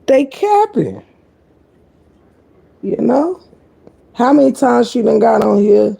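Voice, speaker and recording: A woman with a deep, croaky voice giving sassy, blunt live-stream gossip commentary, full of cursing and kept low so she stays quiet. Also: animated, slow. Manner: talking low to keep quiet.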